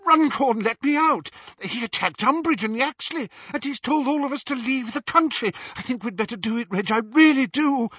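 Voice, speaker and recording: A frightened woman from an audiobook, acted out by a male reader. The voice is high and tense, shrill and breathless. She pleads urgently, with big swings in pitch. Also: animated, normal speed, hardly any pauses, pushed and bright, breathy edge, no room sound, distant mic, muffled recording, exaggerated voice. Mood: urgent pleading. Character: frantic, frightened wife, adult witch.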